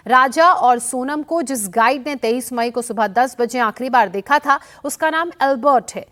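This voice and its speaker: A woman's voice: high voice, normal speed, a bit bright. A woman news presenter narrating a scripted report to viewers in an emphatic, explanatory way.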